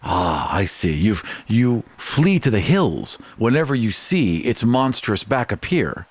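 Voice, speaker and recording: A man's voice: fairly low pitch, very animated, unhurried, no room sound. Man playing a grandiose, sweeping-voiced adventurer nobleman. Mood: earnest, presumptuous. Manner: grandiose, formal, long-winded. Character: pompous, oblivious adventuring nobleman seeking a sea monster.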